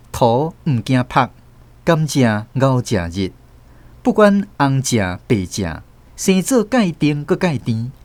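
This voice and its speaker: Animated Taiwanese male storyteller. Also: mid-range voice, dry recording. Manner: expressive storytelling. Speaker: narrator and host. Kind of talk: scripted radio novel narration.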